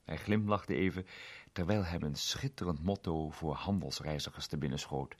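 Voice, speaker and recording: A man narrating a story in a steady, measured manner. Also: very deep voice, husky.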